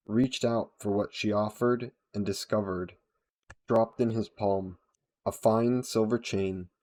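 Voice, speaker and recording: Low, very slow male storyteller. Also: mellow. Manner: solemn, measured, dramatic. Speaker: storyteller, narrator. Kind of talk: scripted narration of a dark fantasy tale.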